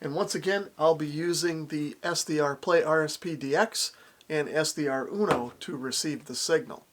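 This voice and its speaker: A man's voice: fairly high pitch, moderate pace, a little hiss or hum. An American man narrating a step-by-step product demonstration and explaining features as he goes. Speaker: radio reviewer and demonstrator.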